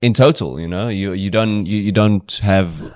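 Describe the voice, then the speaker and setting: A man's voice: lowish voice, moderate pace, darkish tone, dry recording, studio quality. A man from South Africa hosting a podcast, easygoing and encouraging, often murmuring agreement. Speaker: podcast host. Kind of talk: casual podcast interview.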